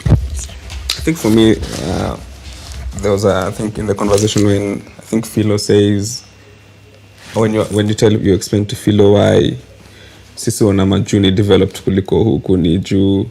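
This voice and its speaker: A man's voice: lowish voice, level tone, moderate pace, creaky, dark tone, low-quality recording. A man with a Kenyan accent talking casually in a group discussion, switching between languages as he gives his thoughts. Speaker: workshop participant giving feedback. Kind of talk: casual discussion mixing English and Swahili. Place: in-person group table read. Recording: faint background room noise.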